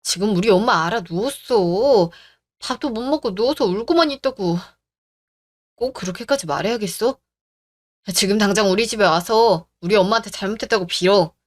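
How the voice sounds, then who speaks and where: A woman's voice: mid-range voice, normal speed, lightly acted. A woman voicing an entitled young male fiancé who talks in a demanding, sneering way in a story radio drama. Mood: angry.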